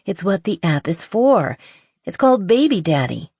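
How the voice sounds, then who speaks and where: A woman's voice: mid-range voice, big pitch swings, normal speed, mellow, slightly rough, dry recording, clean studio sound, pitched above the performer's own voice. A woman voicing a playful, chatty, impulsive young woman who is a little tipsy, in a romance audiobook. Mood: giddy, chatty.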